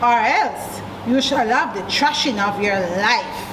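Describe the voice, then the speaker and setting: A woman's voice: fairly high pitch, lively intonation, a little slow, voice pushed down. A Jamaican-accented woman voicing a proud, threatening male pig moneylender in a children's story, demanding and emphatic. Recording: roomy, distant mic, noisy background.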